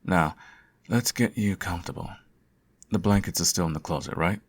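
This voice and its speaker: A man playing a sardonic, theatrical supervillain who fusses over his nemesis in an ASMR audio roleplay, his voice slightly husky. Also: deep voice, moderate pace. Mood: caring, fussing. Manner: theatrical, teasing, sarcastic.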